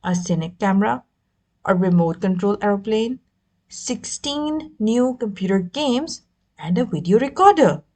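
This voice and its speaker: Slow-paced woman reading a story aloud. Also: medium pitch, expressive, a little mellow. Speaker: audiobook reader. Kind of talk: expressive scripted story narration.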